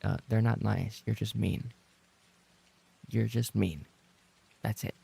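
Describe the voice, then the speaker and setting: A man chatting casually as a livestreamer, rambling and trading playful banter with his viewers, in a gravelly, husky voice. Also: fairly low pitch, steady tone, takes it slowly, dark tone. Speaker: livestreamer and ASMR voice actor reading chat.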